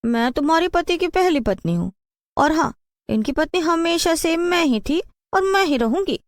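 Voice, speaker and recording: A woman's voice: extremely high pitch, expressive, normal speed, no room sound, studio quality, voice pushed up. A woman voicing a bossy, scheming wife with a haughty, mean manner in a cartoon story. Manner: commanding, mocking.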